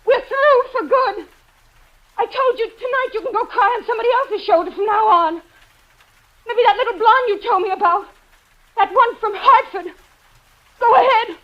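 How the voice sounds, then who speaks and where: A wife in peril in an old-time radio thriller. Her very high voice is full of fear and defiance, emotional and indignant, on a dull vintage recording. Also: normal speed, long pauses. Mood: angry, hurt. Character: frightened, defiant wife in danger. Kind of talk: 1940s radio thriller acting.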